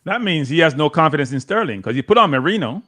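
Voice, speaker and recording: A man's voice: a little high, very animated, fast talker, pushed and bright. A man from Jamaica with a Jamaican accent, arguing tactics on a live football watchalong and repeating his points. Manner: argumentative, repeats himself, frequent laughter.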